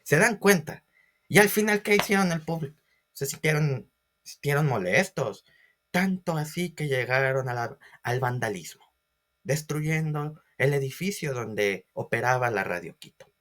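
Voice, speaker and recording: A man's voice: medium pitch, lively intonation, unhurried, fairly bright tone. A man giving a history lecture as a storyteller, with theatrical emphasis and questions to his listeners. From Ecuador. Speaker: history teacher.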